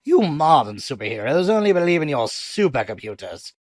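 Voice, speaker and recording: A man voicing an excitable, insistent old former superhero's sidekick in a cartoon comic dub, urgent and dramatic. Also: on the high side, fairly quick, dry recording, studio quality. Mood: indignant. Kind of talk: acted comic dub dialogue. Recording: music behind the voice.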